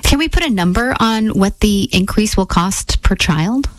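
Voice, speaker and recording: A woman's voice: mid-range voice, lively intonation, moderate pace, no echo, poor audio. A woman hosting a radio news program, putting prepared questions to a guest. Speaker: radio host, interviewer.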